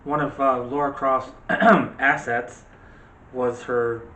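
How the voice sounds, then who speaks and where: A man's voice: medium pitch, steady tone, slow pace, sharp tone, recorded from a distance. A man hosting a casual movie chat, talking directly to viewers in a chatty way. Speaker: video host. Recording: roomy, noisy, off-mic home recording.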